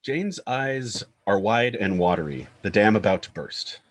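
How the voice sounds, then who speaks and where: A man's voice: lowish voice, expressive, unhurried, a little hiss or hum. A man reading screenplay stage directions as narrator, in clipped, descriptive scripted phrases.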